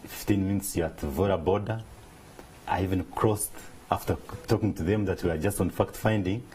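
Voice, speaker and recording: Man, business guest, deep and warm, earnest, measured delivery. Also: slight background noise, poor audio. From Uganda. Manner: measured, earnest, explanatory, with restarts. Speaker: interview guest, business leader. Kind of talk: studio interview.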